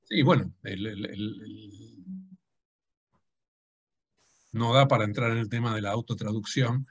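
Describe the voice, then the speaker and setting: A man's voice: on the low side, normal speed, breathy edge. A man whose first language is Dutch, speaking hesitantly on a video call with frequent restarts. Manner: hesitant, many restarts and fillers. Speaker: guest translator. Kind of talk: conversational discussion.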